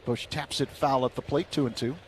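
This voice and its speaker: A man calling baseball play-by-play on the radio in a steady, measured way. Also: mid-range voice, a little hiss or hum.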